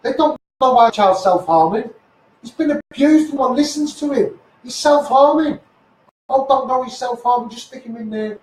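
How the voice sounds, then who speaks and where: A Scouse man with a notably high voice rants like a street campaigner on a livestream. He is combative and blunt, swears, and hammers phrases by repeating them. The sound is echoey and distant, with clear noise behind him. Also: moderate pace. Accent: Scouse (Liverpool). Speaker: campaigner, live streamer. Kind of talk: impassioned live rant to social media.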